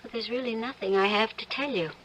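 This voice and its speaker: An actress playing a troubled, gently stubborn and suspicious wife in an old-time radio drama, hesitant and searching. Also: female voice, a little high, fast talker, a little hiss or hum, lightly acted, poor audio. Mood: withdrawn, suspicious.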